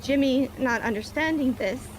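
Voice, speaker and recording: A woman's voice: high-pitched, normal speed, a little hiss or hum, rough recording. A woman playing a police officer on the witness stand, speaking in stiff, formal report phrasing. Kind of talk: formal courtroom testimony in a game roleplay.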